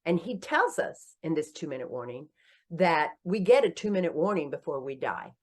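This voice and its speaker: A woman in her sixties, an author and company founder, telling her story in a casual podcast interview, animated and self-deprecating, laughing often and jumping up in pitch when she jokes. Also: normal speed. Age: 60s.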